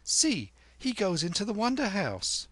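A wondering little lad, played by a grown man speaks in a very high, childlike pitch. He sounds timid and awed, a small storybook character. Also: huge pitch swings, a little slow, fairly bright tone, no echo, heavily acted.

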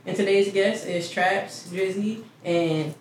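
A woman's voice: mid-range voice, slow. Woman host in an echoey room. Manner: loose, laughing storyteller. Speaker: podcast host. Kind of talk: casual interview chat. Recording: echoey room, distant mic, faint hiss.

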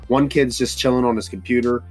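A man's voice: medium pitch, brisk, darkish tone, husky, soft background music. A man hosting a casual solo podcast, stuffy-nosed and rambling with lots of fillers and restarts. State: stuffy nose. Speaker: podcast host. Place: hot humid home studio with fans running.

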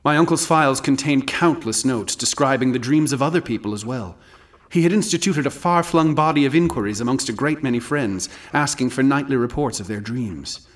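An actor playing a grave, scholarly man who narrates a horror tale in a measured, literate, ominous way for radio drama. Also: fairly low pitch, lightly acted. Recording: music under the voice.

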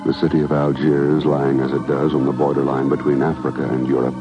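A man's voice: rumbling low, flat delivery, a little fast. A man with an American accent doing formal, emphatic scripted announcing in the manner of 1950s radio. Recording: muffled vintage radio, music behind.

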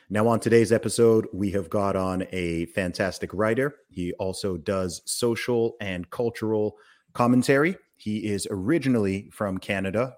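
A man hosting a podcast, greeting listeners loudly and prompting his guest in short, friendly bursts. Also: on the low side. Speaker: podcast host. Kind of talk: podcast interview.

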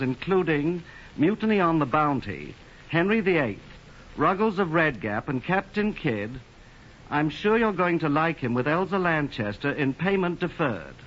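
A man's voice: fairly high pitch, fairly bright tone. A man presenting a scripted programme announcement in 1940s radio style. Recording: dull, faintly noisy 1940s radio recording.

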